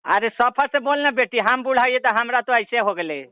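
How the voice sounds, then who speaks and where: Really high bright whiny old bihari villager, pleading and rambling on a prank call. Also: male voice, lively intonation, moderate pace, smooth, no echo, heavily acted, rough recording. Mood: wheedling. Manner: pleading, rambling, repeatedly addressing the listener as daughter. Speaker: prank caller. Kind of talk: comic prank phone call.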